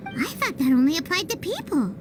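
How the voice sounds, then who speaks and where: A woman voicing a tiny childlike fairy companion in an anime-style game, squeaky, cheeky and exclamatory. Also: very animated, a little fast, noisy background. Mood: excited, amazed. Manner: exclamatory, cutely indignant, refers to self in third person.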